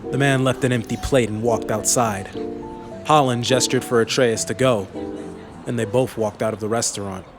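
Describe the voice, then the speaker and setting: A man's voice: medium pitch, fairly even intonation, normal speed, music in the background. A man reading scripted story narration as the narrator of a fantasy audiobook.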